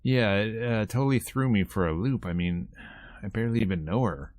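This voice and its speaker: Guy doing a deadpan teasing high school girl from a visual novel, really low voice, guarded. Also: brisk, dry recording, clean studio sound, close to an everyday voice. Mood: flustered. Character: guarded, teasing teenage girl, a student actress.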